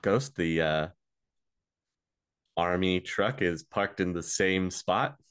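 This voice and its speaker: A man narrating a tabletop role-playing game as game master, casual and halting, pausing mid-sentence as he improvises. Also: low-pitched, fairly bright tone, clear, resonant tone.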